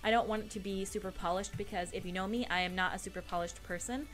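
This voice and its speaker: A woman's voice: mid-range voice, steady tone, fast talker. A young woman, giving a casual, laid-back tutorial voice-over as a beauty vlogger. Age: young adult. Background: background music.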